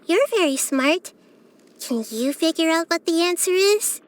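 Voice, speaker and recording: A woman voicing a wise, gentle, curious child-like goddess in a video game, with a light youthful voice and earnest, thoughtful phrasing. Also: very high voice, animated, unhurried. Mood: wise, reflective. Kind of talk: video game character voice lines.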